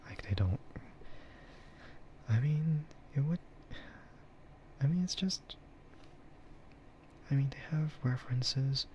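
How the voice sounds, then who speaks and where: A man streaming a casual, rambling ASMR chat in a soft, breathy voice that often slips into a whisper. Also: medium pitch, expressive, slow pace, pauses often, lo-fi sound, a little hiss or hum. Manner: rambling, laid-back. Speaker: streamer and VTuber host.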